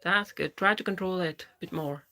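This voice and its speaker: Woman Pakistani-accented livestreamer, bright and forceful. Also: lowish voice, fairly quick. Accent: Pakistani English. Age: 30s. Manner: chatty, answers viewers by name. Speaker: livestream host. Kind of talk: casual live chat reading viewer comments.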